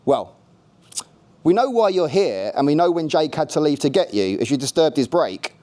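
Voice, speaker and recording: A man's voice: fairly high pitch, very animated, moderate pace, mellow. A man voicing a stern, weary woman angel who reads out instructions in an audiobook-style story. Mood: brisk.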